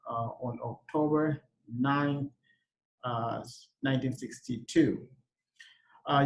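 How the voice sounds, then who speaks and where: A man from Uganda talks through a slide presentation at an unhurried, informal pace. His voice has a gravelly, fry-edged texture, and he stops often for "uh" and restarts his sentences as he goes. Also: medium pitch, poor audio. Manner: halting, many "uh" fillers and restarts. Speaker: research engineer presenting his country.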